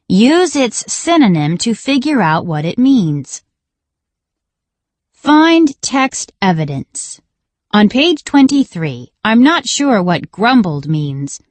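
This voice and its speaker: A woman reading a scripted children's reading lesson in a deliberate, instructional manner. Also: on the high side, very animated, darkish tone, no echo. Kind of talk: scripted educational read-along narration for children.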